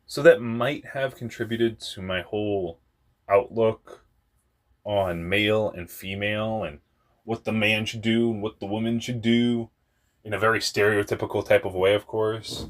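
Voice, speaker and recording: A young man with a very deep voice telling a personal story in a rambling, candid way, often laughing at himself and swearing. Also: normal speed. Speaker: vlogger.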